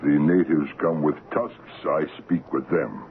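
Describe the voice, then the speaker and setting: Very deep-voiced, deferential African trading-post assistant. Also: male voice. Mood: polite, deferential. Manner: measured, deferential, foreign-sounding broken English. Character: African trading-post assistant, courteous, patient, quietly ominous man. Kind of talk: 1970s radio drama acting. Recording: muffled, noisy 1970s AM radio recording.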